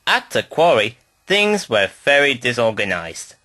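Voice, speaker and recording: A man's voice: medium pitch, sing-song, measured pace, bright, forceful tone, no room sound. A man reading a scripted children's story aloud as narrator.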